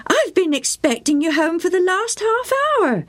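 A woman's voice: very high-pitched, animated, normal speed, pitched above the performer's own voice. A woman voicing a fretful mother scolding her soaked schoolboy son, in a storybook reading. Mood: alarmed scolding. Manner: fussing, exclaiming.

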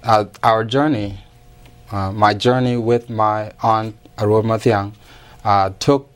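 A man from South Sudan speaks English as a learned second language, his voice low and kept flat in tone. He halts often, filling gaps with soft "uh"s as he recounts events in an earnest, steady way. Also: clear, resonant tone. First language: not English; English learned as second language. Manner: halting, many pauses and "uh" fillers, earnest. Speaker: interview guest. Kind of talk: personal testimony in interview.

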